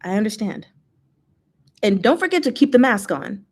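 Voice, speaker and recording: A woman voicing a polite, gentle male former god who hides sadness behind cheer, in a storybook reading. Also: medium pitch, big pitch swings, moderate pace, slightly rough. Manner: polite, mild.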